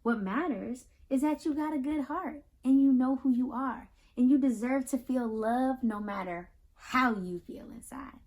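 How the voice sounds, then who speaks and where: American woman, children's read-aloud host, high voice, expressive and affirming, speaks directly to kids. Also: unhurried, few pauses. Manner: affirming, reassuring, speaks directly to young listeners; expressive, repeats phrases for emphasis. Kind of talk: picture book read-aloud with talk to children.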